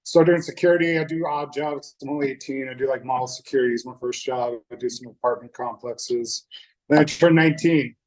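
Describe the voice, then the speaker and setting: A man's voice: mid-range voice, steady tone, fairly quick, low-quality recording. A young man from North Dakota, sharing his personal story at a recovery meeting in a candid, loose way, with many fillers and restarts. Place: meeting room.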